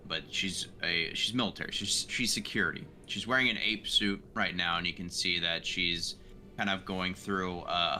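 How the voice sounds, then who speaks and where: A man with a deep voice and a very sharp, bright edge takes part in a tabletop roleplaying game as a player. He describes his character in casual, conversational terms.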